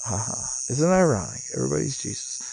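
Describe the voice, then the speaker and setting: A man speaking as a vlogger in a casual, off-the-cuff spiritual monologue, musing in broken phrases with pauses. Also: mid-range voice, sing-song, creaky, very noisy. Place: outdoors, sitting in a rocking chair. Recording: outdoor recording with chirping insects. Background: cicadas or crickets.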